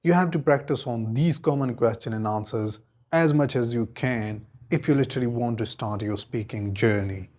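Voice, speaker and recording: A man, teaching an English lesson and giving clear, deliberate model answers, each repeated in several forms. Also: medium pitch, darkish tone. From Khyber Pakhtunkhwa, Pakistan. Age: 20s.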